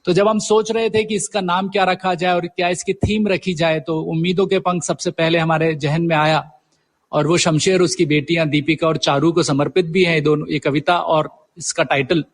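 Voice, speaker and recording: A man's voice: on the high side, flat delivery, moderate pace. A middle-aged man answering as a guest writer in a conversational interview, hesitantly searching for his words.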